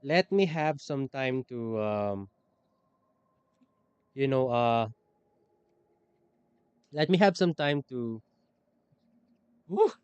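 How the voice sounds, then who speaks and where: A man's voice: medium pitch, expressive, drawn-out words, long pauses, darkish tone, clean tone, no echo, muffled recording. A man livestreaming a game, reacting casually and hesitantly, repeating phrases and breaking into exclamations. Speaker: streamer.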